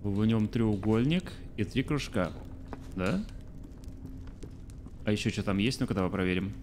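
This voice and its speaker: A man in his thirties with a lowish voice, talking through a game stream as he plays. He thinks aloud in a loose, chatty way and answers his viewers as he goes. Faint game sounds sit quietly behind him. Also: measured pace, slight background noise. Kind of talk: casual let's-play commentary. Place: small room indoors. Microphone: studio mic on arm by face.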